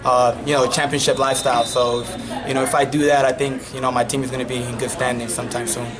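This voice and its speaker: A young man from the Dominican Republic, a native Spanish speaker, giving a relaxed post-match interview as a college wrestling coach, full of you-knows. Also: medium pitch, quick pace. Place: wrestling tournament arena. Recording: loud arena crowd behind. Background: crowd noise.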